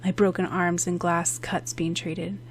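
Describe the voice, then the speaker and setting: Female audiobook narrator, lower register, scripted dramatic storytelling. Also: flat delivery, measured pace, slight background noise.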